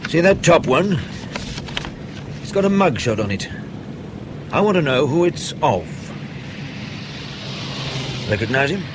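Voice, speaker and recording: A man playing a composed, evasive intelligence officer in a radio drama, speaking in a dry, understated, unhurried way. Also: fairly high pitch, big pitch swings, background noise. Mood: businesslike. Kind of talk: naturalistic radio drama dialogue.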